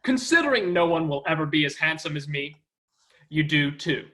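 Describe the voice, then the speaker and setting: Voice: medium pitch, animated, moderate pace, a bit bright, lightly acted. An actor playing a vain, pompous male superhero who admires his own looks. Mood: dismissive. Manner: pompous, preening.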